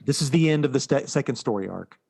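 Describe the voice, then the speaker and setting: A man's voice: mid-range voice, expressive, fast talker, vocal fry, darkish tone, breathy edge. A man co-hosting a podcast, recapping things in a casual, explanatory and informal way. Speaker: podcast co-host. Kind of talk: casual chat, recapping comic books.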